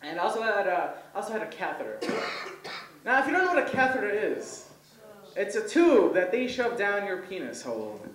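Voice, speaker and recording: A man's voice: high-pitched, very animated, brisk, a bit bright. Nervous young man doing stand-up in a roomy space. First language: Hebrew. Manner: rambling, hesitant with many ums, laughs at own jokes. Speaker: stand-up comedian. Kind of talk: first-time stand-up set. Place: comedy event stage. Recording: roomy, voice from far off.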